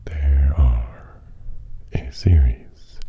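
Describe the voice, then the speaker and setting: Very slow, deep whispering man. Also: slightly rough. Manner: hushed, mostly whispered, halting with long pauses; musing, deliberate short phrases. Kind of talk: improvised ASMR monologue. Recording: dull, muffled audio with faint noise.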